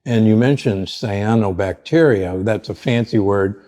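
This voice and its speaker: An older man hosting a podcast, asking relaxed, friendly questions of his guest. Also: lowish voice, measured pace. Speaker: podcast host. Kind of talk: conversational interview hosting.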